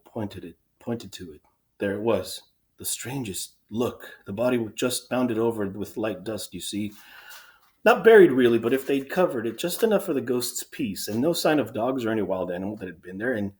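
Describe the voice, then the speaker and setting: A man playing an anxious soldier, halting, wordy and self-excusing, in a dramatic reading of Greek tragedy. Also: fairly low pitch, a little mellow, lightly acted.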